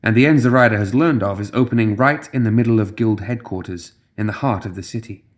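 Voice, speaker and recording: Man narrating dark fantasy fiction. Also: fairly low pitch, quick pace. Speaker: audiobook narrator.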